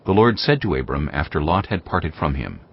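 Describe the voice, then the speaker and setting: A man narrating scripture in a measured, grave storytelling manner. The audio is dull and lo-fi. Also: very deep voice, sing-song, slightly rough. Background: music.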